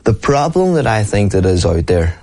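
A man's voice: fairly low pitch, big pitch swings, moderate pace, dark tone. A man, a professional boxer talking earnestly and at length in an interview, often asking "you know what I mean". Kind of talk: casual interview talk.

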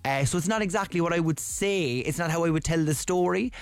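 A man's voice: fairly high pitch, brisk, clear, resonant tone. A young man with a Cork accent, a radio presenter chatting casually and rambling in a studio. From Ireland. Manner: chatty, rambling, laughs easily. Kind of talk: casual chat. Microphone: studio mic.

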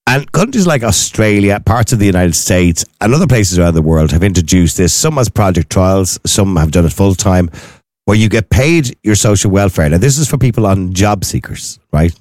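Fast, argumentative Irish radio host. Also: male voice, a little mellow, dry recording, clean studio sound. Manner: interrupting, argumentative, pitch jumping up when pressing a point. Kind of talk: call-in radio debate.

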